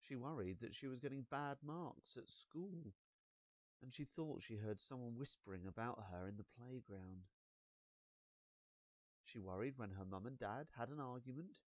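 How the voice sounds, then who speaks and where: A man reading a children's storybook as an expressive narrator, with deliberate dramatic pauses and drawn-out words. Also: mid-range voice, no room sound.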